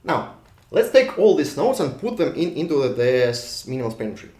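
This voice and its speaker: A man's voice: medium pitch, sing-song, a little fast, a little mellow. A man from Russia with a Russian accent, giving a university lecture at a whiteboard, thinking aloud with frequent restarts and repeated words. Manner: repetitive restarts, thinks aloud while drawing. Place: lecture hall. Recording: echoey room, voice drifts off mic.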